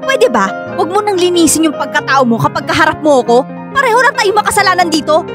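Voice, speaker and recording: A woman's voice: very high-pitched, very animated, fast talker, music in the background. A woman playing a clingy, confrontational young mistress in an emotional radio drama. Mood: hurt, accusing.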